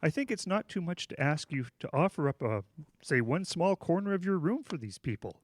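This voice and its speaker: Kindly, flustered pastor with swooping pitch. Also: male voice, big pitch swings, a little fast, no echo, clean studio sound. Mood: earnest pleading. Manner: hesitant, apologetic pleading. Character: kindly, flustered, forgetful male church pastor. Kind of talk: holiday radio drama acting.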